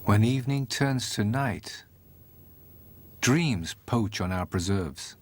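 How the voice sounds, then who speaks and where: A man's voice: lowish voice, animated, slow pace, a little hiss or hum. A man telling a fairy tale as a scripted, theatrical storybook narration.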